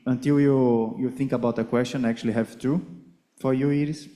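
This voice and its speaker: Mellow-voiced man moderating a Q&A. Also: mid-range voice, fairly even intonation. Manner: measured, polite, explains questions step by step. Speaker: project coordinator and Q&A moderator. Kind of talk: moderating conference Q&A. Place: conference hall.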